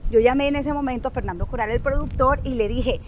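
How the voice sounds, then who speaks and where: Animated coastal Colombian woman guest. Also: sits high, fast talker. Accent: Colombian Caribbean coast. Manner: expressive, acts out dialogue. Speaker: guest, news anchor. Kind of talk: animated storytelling in interview. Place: outdoor resort terrace.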